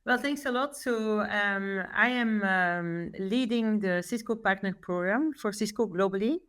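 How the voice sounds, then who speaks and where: A woman with a Belgian accent, speaking as a podcast guest in a composed, measured business manner. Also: mid-range voice, fairly bright tone, clean tone. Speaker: podcast guest, company executive.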